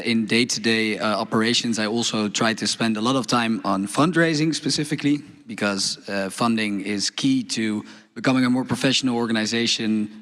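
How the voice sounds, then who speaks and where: Measured man speaking on a panel. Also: on the low side, a bit bright. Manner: measured, hesitant with fillers. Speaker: co-president on a panel. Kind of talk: panel introduction.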